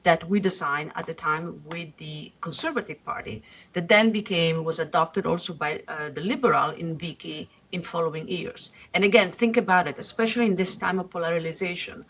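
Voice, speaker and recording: Woman, Italian accent, lowish voice, middle-aged, measured pace with pauses, podcast guest. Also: a bit bright. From Italy. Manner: measured, explanatory, with frequent "uh" pauses. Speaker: guest investor. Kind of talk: podcast interview.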